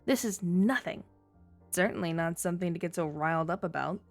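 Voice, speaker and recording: A woman's voice: fairly low pitch, fairly quick, faint music behind, close to an everyday voice. A woman playing a flirty, scheming underworld informant in an ASMR audio drama, with a teasing, coy, mock-sweet delivery. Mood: bargaining, commanding.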